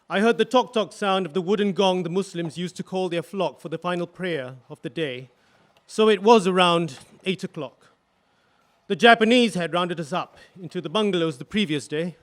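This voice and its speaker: A man plays a grave widower testifying in a courtroom play. His voice is high for a man, and he speaks earnestly, with careful, solemn precision. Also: a bit bright. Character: grave, earnest male electrical engineer, widowed war witness.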